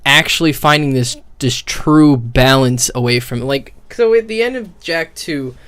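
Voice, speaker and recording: A young man streaming casual, rambling commentary on a video game, hesitating as he thinks out loud. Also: mid-range voice, a little slow, smooth. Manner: casual swearing.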